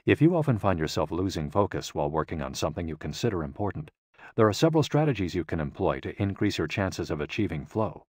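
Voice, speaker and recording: A man reading a scripted audiobook in a measured, clearly phrased, explanatory manner. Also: low-pitched, very animated, darkish tone, no echo, clean studio sound. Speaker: audiobook narrator.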